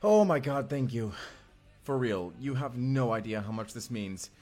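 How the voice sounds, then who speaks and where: A man's voice: medium pitch, animated, unhurried, a little mellow. An actor playing an earnest, anxious young man stranded from the future, talking in a hesitant, rambling, conversational way in an audio roleplay. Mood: relieved, grateful. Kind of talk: first-person audio roleplay acting. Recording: music behind the voice.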